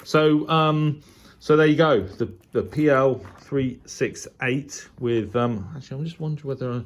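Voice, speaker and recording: A man's voice: mid-range voice, normal speed. A man giving casual, unscripted commentary as a hobbyist presenter, hesitant and thinking aloud as he demonstrates gear. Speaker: shortwave radio hobbyist presenting a video. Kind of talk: casual unboxing and demo commentary. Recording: clear background noise and ambience.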